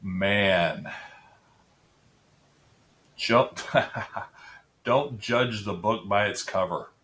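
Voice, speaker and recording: Deep-voiced man, rambling and easygoing. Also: extremely slow, a bit bright, a little hiss or hum. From Central Florida area resident. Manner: rambling, restarts, says man and dude. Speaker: podcast guest. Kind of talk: casual interview chat.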